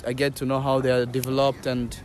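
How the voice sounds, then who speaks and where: A man's voice: mid-range voice, monotone, fast talker, darkish tone. A young man from Rwanda being interviewed on the street, hesitant and full of fillers, then playful. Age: young adult. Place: outdoors on a park lawn. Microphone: interviewer's phone. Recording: faint outdoor street noise.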